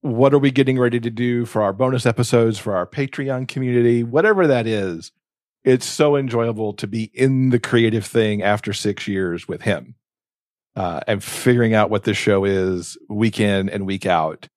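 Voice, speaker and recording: A man's voice: fairly low pitch, moderate pace, darkish tone, dry recording, clean studio sound. A man chatting casually as a podcast co-host, speaking in a reflective, earnest way.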